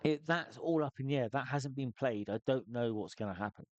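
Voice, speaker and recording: A man's voice: medium pitch, a little fast, dry recording. Man musing through loose solo-game advice. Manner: loose, thinking aloud with many restarts. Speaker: solo tabletop game player giving tips. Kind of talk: casual spoken advice.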